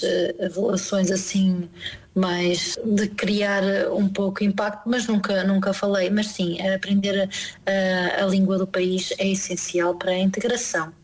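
A woman, speaking as an interviewee, hesitant with pauses and fillers. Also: medium pitch, darkish tone, rough recording. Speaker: interviewee, expatriate.

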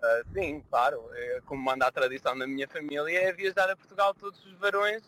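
Bright-voiced young man from New Jersey. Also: fairly high pitch, a little fast. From New Jersey, United States. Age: 20s. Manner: hesitant, with fillers and repeated words. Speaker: interview guest. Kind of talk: radio or TV interview.

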